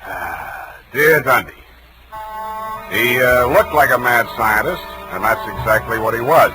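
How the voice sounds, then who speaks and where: An actor playing a wry, laconic, tough private detective in a 1940s radio drama. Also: male voice, medium pitch, lively intonation, measured pace, over music, natural voice, rough recording. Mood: wry, weary. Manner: laconic, sardonic, offhand. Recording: vintage 1940s radio broadcast.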